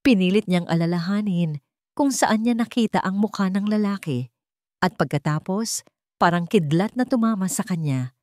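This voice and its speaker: A woman's voice: medium pitch, moderate pace, darkish tone, no room sound, studio quality. A woman with a Filipino accent reading a scripted story as a dramatic narrator.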